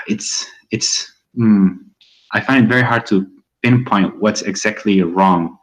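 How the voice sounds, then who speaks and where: A young man from Morocco, a native Amazigh speaker, calls in and talks in a very low, deep voice. He speaks hesitantly, pausing and restarting as he searches for words. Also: fairly bright tone, rough recording. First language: Amazigh (Berber). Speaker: caller. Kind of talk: casual call-in conversation.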